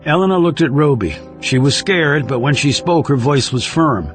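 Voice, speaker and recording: A man's voice: on the high side, animated, moderate pace. A man reading a thriller audiobook as narrator, in a scripted storytelling style. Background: music under the reading.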